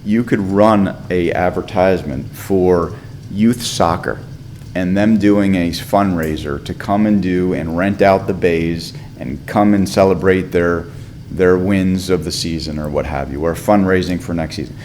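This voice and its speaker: Very deep-voiced man, sign-industry rep, persuasive pitch to a commission. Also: measured pace, a little mellow, slight background noise. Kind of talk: public hearing testimony. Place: council chamber.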